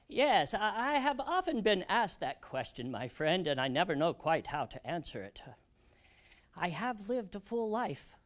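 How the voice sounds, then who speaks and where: An actor playing an elderly American statesman in a theatrical historical monologue, proud and wistful, with deliberate, old-fashioned diction and reflective pauses. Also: high-pitched. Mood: courteous, sociable.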